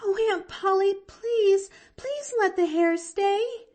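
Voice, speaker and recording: A woman voicing an eager, earnest, excitable young girl in an audiobook, with gushing, pleading delivery. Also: on the high side, takes it slowly, pitched above the performer's own voice, heavily acted. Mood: pleading, upset. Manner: gushing, breathless exclamations and pleading. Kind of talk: audiobook character voice.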